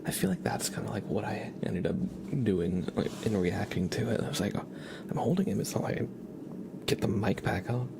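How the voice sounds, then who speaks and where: A man hosting a live ASMR stream, chatting casually with viewers in a soft, half-whispered, amused way, close to the microphone. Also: lowish voice, lively intonation, normal speed, vocal fry, background noise.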